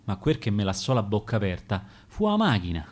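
A man with a Roman accent playing a rough, sincere young farmhand telling his story in verse. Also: lowish voice, sing-song, a little fast, pitched below the performer's own voice. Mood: proud, wistful. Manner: plain-hearted storytelling in verse.

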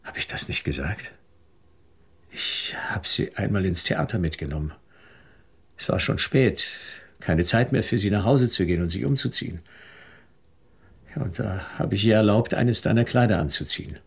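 A man's voice: fairly low pitch, lively intonation, normal speed, vocal fry, long pauses, husky, full character voice. An actor playing a weary, defensive husband pleading with his wife in a German radio drama.

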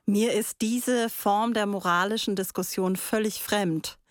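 A woman's voice: medium pitch, fairly even intonation, a little slow, no room sound, clean studio sound. A woman presenting a reflective radio discussion, posing abstract questions.

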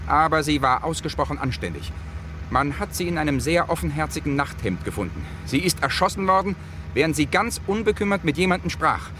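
An actor playing a wealthy, shaken Hollywood man working out a murder in a German radio crime play, with halting, theatrical delivery. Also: on the high side, noisy background.

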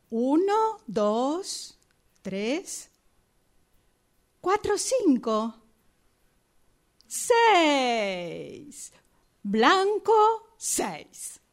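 High-voiced woman with swooping intonation. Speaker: radio drama workshop student. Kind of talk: acting exercise, reciting colours and numbers expressively.

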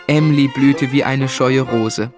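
An actor playing a sincere young gentleman who tells his own life story in an earnest, literary way in a radio drama. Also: medium pitch, steady tone, measured pace, music in the background, close to an everyday voice. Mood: reflective. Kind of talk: radio drama narration and dialogue.

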